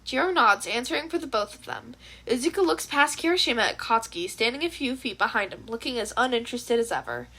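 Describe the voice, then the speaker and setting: A high-pitched woman's voice reads fiction aloud. She delivers scripted narration in the manner of an amateur audiobook. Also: animated, fast, few pauses, bright, forceful tone.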